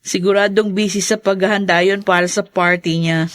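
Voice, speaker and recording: An actress playing a polite, earnest young university student in a radio drama. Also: female voice, mid-range voice, fairly even intonation, normal speed, close to an everyday voice. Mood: friendly, chatty.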